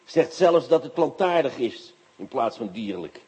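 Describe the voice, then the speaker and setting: A man's voice: mid-range voice, big pitch swings, unhurried, darkish tone, slightly rough, heavily acted. A man playing a driven, irritable and sarcastic police inspector in a radio drama, with a brusque, commanding delivery. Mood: musing. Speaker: actor.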